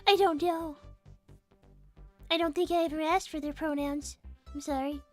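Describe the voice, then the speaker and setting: Woman doing a very high, prim rabbit girl. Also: steady tone, normal speed, darkish tone, faint music behind, lightly acted. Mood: prim, matter-of-fact. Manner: reproachful, prim. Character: prim, scolding young female rabbit, easily offended. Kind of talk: live improvised character roleplay.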